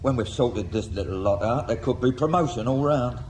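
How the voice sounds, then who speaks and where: Pompous male investigator, mid-pitched an actor's role. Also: brisk, a little mellow, close to an everyday voice. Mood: wry, suspicious. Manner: pompous, wordy, mock-polite. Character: pompous, wry senior galactic investigator, condescending. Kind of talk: radio drama acting. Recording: faintly noisy 1980s radio drama.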